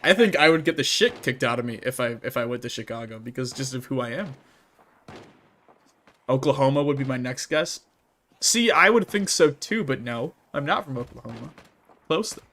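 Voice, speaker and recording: Midwestern guy streaming a game, reads chat out loud, chuckles a lot, slight hiss in the background. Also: medium pitch, lively intonation, moderate pace. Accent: Midwestern American. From Midwestern United States. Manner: reads chat messages aloud and answers them, laughing often. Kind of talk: casual livestream chat while gaming.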